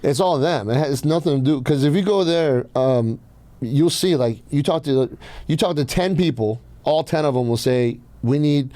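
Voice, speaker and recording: A man's voice: fairly high pitch, moderate pace, mellow, no echo. A man from Iran telling personal stories casually as a podcast host, blunt and swearing freely.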